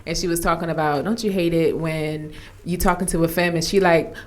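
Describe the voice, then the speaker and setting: A woman's voice: fairly low pitch, steady tone, a little fast. A woman co-hosting a podcast, chatting casually in slang and retelling what others said in little anecdotes. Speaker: podcast co-host.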